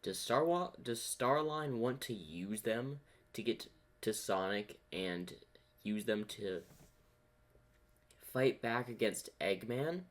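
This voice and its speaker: A man's voice: on the low side, slow. A man hosting a reaction video, musing aloud in casual commentary.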